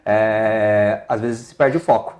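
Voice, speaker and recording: A man, speaking casually and haltingly as an interview guest. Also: lowish voice, fairly even intonation, darkish tone. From São Paulo, Brazil. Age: 30s. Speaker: interview guest, small business owner.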